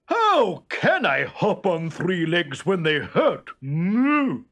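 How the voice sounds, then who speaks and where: Boastful, slow-witted storybook bull: very draggy delivery, hesitant bluster, drawn-out mooing hums. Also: male voice, sits high, huge pitch swings, fairly bright tone, exaggerated voice. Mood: whining excuses. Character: boastful, dim-witted great bull, full of feeble excuses. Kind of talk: children's storybook character voice.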